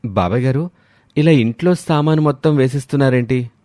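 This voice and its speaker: A man's voice: medium pitch, a little fast, dark tone, dry recording, clean studio sound, natural voice. A man playing a polite, enthusiastic young city visitor in a moral story. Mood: curious.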